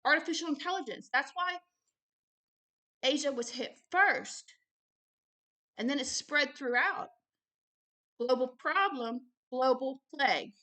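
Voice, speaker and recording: A woman with a fairly high voice delivers unscripted religious teaching. She is emphatic and didactic, breaking her thoughts into short phrases. Key words come back again and again as she drives each point home. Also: unhurried, pauses often, sharp tone. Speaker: religious teacher and show host. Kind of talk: extemporaneous Bible teaching and book commentary.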